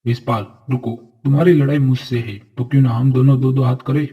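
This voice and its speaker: A man acting a noble, earnest figure of a fairy-tale royal court, speaking formally and solemnly in an animated story. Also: mid-range voice, a little fast, slightly rough, dull audio. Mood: firm, defiant.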